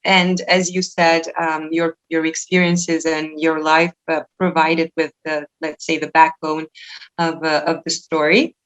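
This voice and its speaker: A woman's voice: low voice, level tone, unhurried, a bit bright, highs cut off. Hesitant woman interviewer. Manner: hesitant, many restarts and fillers. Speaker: interviewer for a bookshop. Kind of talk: live book launch interview.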